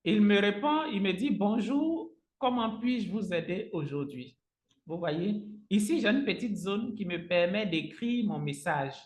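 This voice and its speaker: A man whose native language is Agni, teaching a live software tutorial step by step as an online trainer, often repeating his phrases. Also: sits high, animated, measured pace. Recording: roomy, echoing sound.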